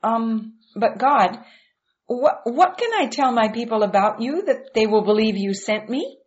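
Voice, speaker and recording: A timid shepherd man stammers and protests in his story, his voice jumping higher when he panics, as a woman reader puts him on. He speaks slowly and hesitantly on a dull, muffled recording. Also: animated. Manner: hesitant, stammering with ums and uhs. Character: timid stammering male shepherd Moses, humble.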